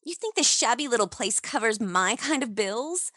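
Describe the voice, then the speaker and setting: A woman's voice: on the high side, lively intonation, normal speed, sharp tone, no room sound, clean studio sound. An actress playing a seductive, scheming showgirl in a noir radio play, with a coquettish way of talking. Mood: defiant, indignant. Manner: sultry, coquettish.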